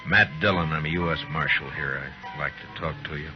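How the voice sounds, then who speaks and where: Very deep-voiced, laconic frontier marshal. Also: male voice. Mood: firm. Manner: laconic, measured, authoritative. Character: weary, steady frontier U.S. marshal. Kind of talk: old-time radio western acting. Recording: dull old radio recording, music.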